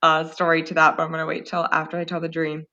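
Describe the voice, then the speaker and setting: A hoarse woman co-hosting a podcast, casually rambling through a dream story and laughing often. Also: low-pitched, completely flat delivery, fast, sharp tone, dull audio. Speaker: podcast co-host. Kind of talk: casual chat, telling a dream.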